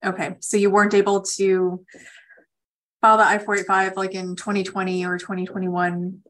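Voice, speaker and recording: Woman lawyer on a conference call, mid pitch, explaining stuff straight to callers, conversational. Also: little pitch movement, moderate pace, fairly bright tone, low-quality recording. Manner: direct, explanatory. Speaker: immigration attorney hosting a call-in session. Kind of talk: conversational legal advice to callers.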